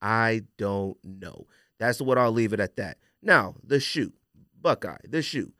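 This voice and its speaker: A man's voice: on the low side, animated, measured pace, bright, forceful tone, dry recording, studio quality. A man hosting a casual podcast, giving a blunt, emphatic, opinionated rant peppered with rhetorical questions. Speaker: podcast host.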